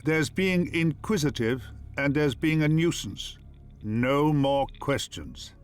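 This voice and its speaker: A man playing a calm, wise, once-human artificial intelligence for a game, speaking in measured, formal phrases. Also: a little high, clear, resonant tone, soft background music. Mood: stern. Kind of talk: scripted game companion voice acting.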